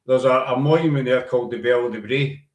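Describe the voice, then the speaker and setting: A man with a Glaswegian Scottish accent hosting a casual live-stream interview, chatty and relaxed with stumbling restarts. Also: medium pitch, fast talker, lo-fi sound. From Glasgow, Scotland. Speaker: host. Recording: roomy echo.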